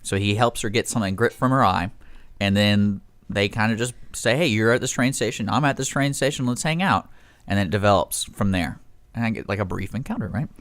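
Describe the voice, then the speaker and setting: A man's voice: on the low side, fairly quick, fairly bright tone, dry recording. A man hosting a casual live radio show, rambling and often interrupting himself as he tells stories. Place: radio studio.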